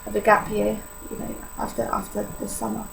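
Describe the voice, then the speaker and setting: A woman's voice: mid-range voice, completely flat delivery, brisk, creaky, a bit bright, a little hiss or hum, poor audio. An eighteen-year-old woman from Poland with a Polish accent, talking candidly to camera in a personal vlog, with many fillers. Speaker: vlogger.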